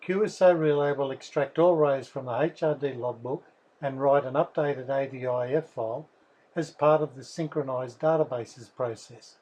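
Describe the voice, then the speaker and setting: A man narrates a scripted software tutorial. His voice is somewhat husky and sits at a medium pitch. He moves through each instruction methodically. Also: fairly even intonation, normal speed, low-quality recording. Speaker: software developer presenting a tutorial.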